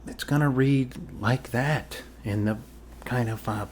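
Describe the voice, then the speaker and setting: A man plays a goofy, reassuring doctor in an improvised ASMR roleplay. He talks slowly in a mid-pitched voice, rambling and joking casually. There is faint background noise on the recording.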